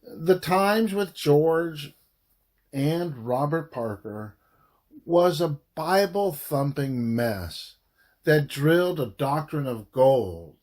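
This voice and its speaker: A man reading a historical story aloud as its author, in a deliberate, dramatic storytelling manner with pauses. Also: a little high, expressive, a little mellow. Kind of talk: audiobook narration of historical fiction.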